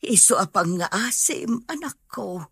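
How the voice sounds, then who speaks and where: A woman's voice: fairly high pitch, animated, measured pace, creaky, fairly bright tone, breathy edge, no echo, heavily acted. A woman playing a humble, pious mother in an Ilocano radio drama, earnest and imploring. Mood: pleading, grateful.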